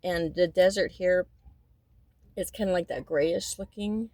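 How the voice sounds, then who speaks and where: A woman's voice: on the low side, unhurried, dark tone, no room sound. A woman in her fifties talking in a casual, rambling monologue, often repeating and restarting her sentences, and at times turning emphatic and indignant. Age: 50s.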